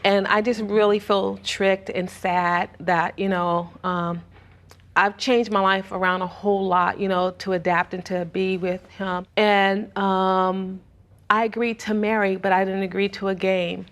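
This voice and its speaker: Brief: woman in a TV courtroom, quiet and even, little pitch movement. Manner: soft-spoken, measured, with hurt complaints. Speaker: litigant on a court show. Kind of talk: courtroom testimony.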